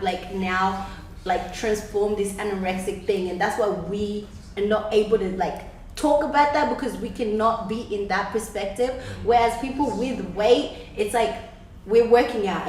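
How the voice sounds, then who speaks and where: A woman's voice: mid-range voice, a little mellow, very echoey, slight background noise, rough recording. A woman on a discussion panel, talking animatedly with lots of "like" fillers. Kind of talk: casual group discussion.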